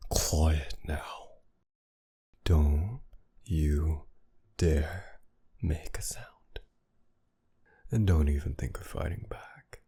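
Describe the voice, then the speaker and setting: A man playing a mocking, menacing masked villain kidnapper in an intimate ASMR roleplay, with a gravelly, breathy murmur that often sinks toward a whisper. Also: very deep voice, mellow, exaggerated voice. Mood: hushed, threatening. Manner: taunting, commanding, slow and teasing.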